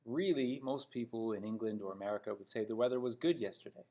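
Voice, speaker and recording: A man's voice: mid-range voice, quick pace, warm tone. A man from Toronto with a Canadian accent, giving an English lesson in an emphatic, didactic manner with frequent check-in questions. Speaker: English teacher. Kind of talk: spoken English lesson critiquing example sentences.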